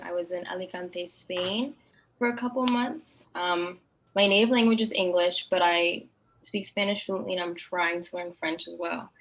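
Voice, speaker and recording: A young woman introducing herself briskly and in a friendly way as a student. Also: on the low side, poor audio. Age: young adult. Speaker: student ambassador. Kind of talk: self-introduction on a video call.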